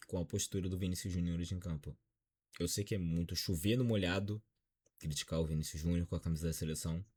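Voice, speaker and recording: Deep-voiced dejected Brazilian man. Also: a little mellow, slightly rough. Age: 20s. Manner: dejected, frank, measured. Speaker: football commentator. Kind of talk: solo opinion vlog on football.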